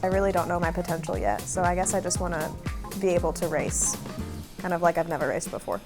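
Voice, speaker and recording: A woman's voice: fairly low pitch, fast. A young woman from Arkansas, a college swimmer, giving casual interview answers. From Arkansas, United States. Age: college freshman, about 18. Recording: music playing behind the voice.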